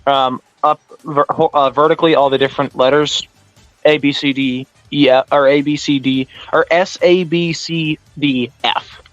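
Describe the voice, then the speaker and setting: A man co-hosting a livestream in informal, halting chat, often repeating himself. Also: on the high side, steady tone, dry recording, muffled recording, faint music behind. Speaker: livestream co-host. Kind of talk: casual chat.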